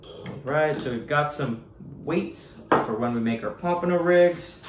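A man's voice: on the high side, unhurried. A young man, a fishing guide, chatting easily to his viewers as he unboxes gear at his desk in a small home office. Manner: easygoing, chatty, addresses viewers as guys. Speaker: surf fishing guide and channel host. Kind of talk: casual unboxing vlog talk. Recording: echoey room with faint hiss.

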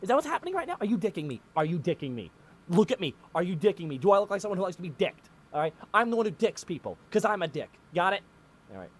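An irritable, foul-mouthed bully from a parody video game dub, done by a man. His voice sits high and he badgers whoever he talks to. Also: big pitch swings, fast, slightly rough, heavily acted. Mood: aggressive. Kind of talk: amateur parody dub outtakes.

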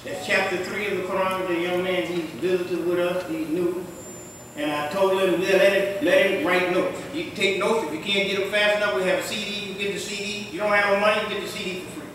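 Middle-aged imam, friday sermon, chatty then shouty, high bright voice, big echoey room heard from afar. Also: male voice, little pitch movement, a little fast, slight background noise. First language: English. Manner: conversational preaching, jokes and laughs, rises to emphatic shouts. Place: mosque room with tiled walls. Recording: very echoey hall, taken from a distance.

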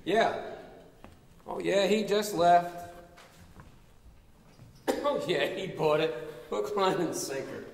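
A man's voice: high voice, slow pace, creaky, pauses often, heavily acted. An older man playing a weary, scheming detective in a stage play, terse and hard-boiled. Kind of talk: live stage play acting. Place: theater stage with audience, far camera. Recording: echoey theater hall, background noise.

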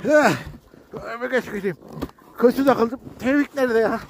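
Very high-pitched man vlogging outdoors. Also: a little slow, creaky, slight background noise. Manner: active, talking while snowboarding. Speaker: vlogger presenting a ski slope. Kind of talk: casual vlog commentary to viewers and companions. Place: outdoors on a steep ski slope.

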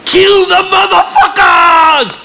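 A man playing an eccentric old zombie-hunting sensei in a video game, shifting between grave lecturing and excitable yelps. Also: extremely high pitch, takes it slowly, gravelly, crowd noise, background noise, exaggerated voice. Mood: rousing. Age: older. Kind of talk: video game cutscene acting. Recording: loud music behind the voice.

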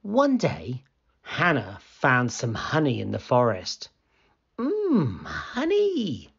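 A man reading a children's story aloud in an expressive, deliberate storytelling manner. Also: mid-range voice, gravelly, breathy edge, muffled recording.

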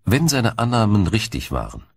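A man narrating a scripted documentary in a measured, explanatory storytelling manner. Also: lowish voice, wildly swooping pitch, husky, no echo, studio quality. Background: music.

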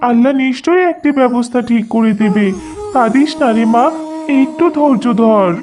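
A fairly high, plaintive mother's voice acted by a woman in a cartoon fairy tale. She is a poor, pious villager, anxious yet tender and soothing, with clear music behind her. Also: moderate pace, close to an everyday voice. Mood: helpless, comforting.

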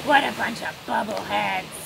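A woman's voice: mid-range voice, unhurried, creaky, background noise. A woman voicing a grumpy, taunting male crab in an emphatic storybook read-aloud. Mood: jeering. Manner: jeering, emphatic. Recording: loud ocean surf, outdoors.

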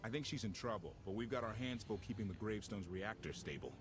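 A man's voice: on the low side, animated, fast talker, noisy background, lightly acted. An actor playing a cocky, irreverent male starship pilot in a sci-fi game, sardonic and breezy. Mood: concerned.

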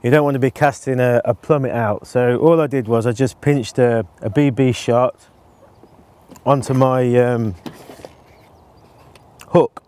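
A man in his forties explaining his canal fishing setup in a relaxed, casual way, with frequent ums, recorded outdoors on a lapel mic. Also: mid-range voice, a little slow, pauses often, clear, resonant tone. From England. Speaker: angling presenter. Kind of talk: casual instructional talk to camera.

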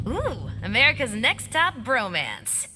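A woman's voice: on the high side, huge pitch swings, measured pace. An actress voicing a cocky, wisecracking young female soldier in a video game, with sarcastic, flippant delivery. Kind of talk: video game character dialogue. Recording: long hall reverb, faint music.

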